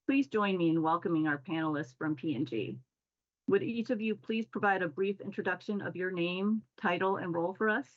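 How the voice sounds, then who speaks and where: A woman's voice: on the low side, a little fast, highs cut off. A woman hosting a panel discussion, reading formal introductions and questions. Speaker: panel moderator.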